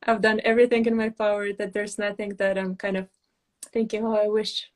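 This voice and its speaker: A young woman from Moldova, a pageant titleholder, chatting earnestly on a live video call with soft laughs and fillers. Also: mid-range voice, level tone, a little fast. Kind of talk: casual live video chat.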